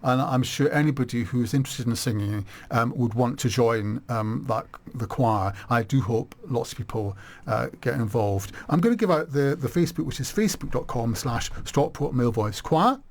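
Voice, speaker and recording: A man hosting a live radio show from the studio, with a mid-pitched voice. He talks in a chatty, animated way, trips over and restarts his words, and keeps the conversation bubbling along. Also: moderate pace. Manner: chatty, animated, warm enthusiasm with frequent restarts. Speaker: radio host.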